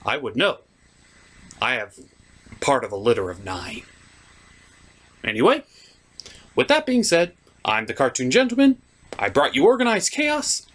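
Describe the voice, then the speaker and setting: A man's voice: medium pitch, wildly swooping pitch, measured pace, pauses often, a bit bright. A man hosting a comedic cartoon review, delivering scripted commentary and asides with theatrical, mock-dramatic emphasis. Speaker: host of a cartoon review show.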